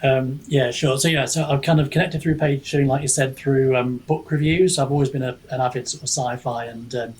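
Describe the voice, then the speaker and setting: A man's voice: medium pitch, steady tone, a little fast, few pauses, darkish tone, poor audio. A man speaking casually as a guest author in a podcast interview, self-deprecating and a little rambling as he feels for his words. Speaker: guest author, fantasy writer and book reviewer.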